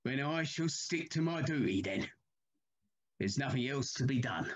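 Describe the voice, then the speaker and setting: A man's voice: medium pitch, lively intonation, unhurried, breathy edge. A man playing a deferential, dutiful railway signalman in an audio play, humble and hesitant in manner, on a slightly muffled recording. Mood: grateful, resolute. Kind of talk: dramatic audio play acting.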